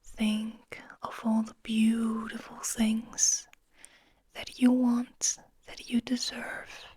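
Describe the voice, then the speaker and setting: A woman whispering breathily in a slow, soothing guided ASMR style, lingering on her words. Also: on the high side, monotone, warm tone, breathy edge, dry recording. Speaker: ASMR host.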